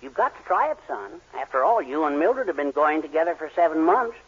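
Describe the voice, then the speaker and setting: A meek middle-aged husband in a 1940s radio sitcom. His voice sits on the high side and swings about as he hems and haws kindly. The old broadcast recording is dull and muffled. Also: sing-song, fairly quick, husky. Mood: apologetic, hesitant. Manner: hesitant, hemming and hawing.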